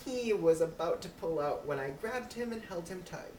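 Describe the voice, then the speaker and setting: A woman's voice: on the low side, expressive, normal speed, mellow. A woman reading a story aloud as an amateur, with a giggly manner. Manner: giggly, breaking into laughs while reading. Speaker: amateur reader. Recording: roomy, distant mic with clear noise.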